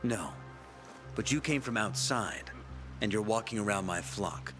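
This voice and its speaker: An actor playing a shrewd, pompous leader of a survivor community in a video game, speaking in a deliberate, preacherly way. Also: male voice, on the low side, natural voice. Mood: probing, wry. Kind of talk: dramatic video game acting. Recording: background music under the voice.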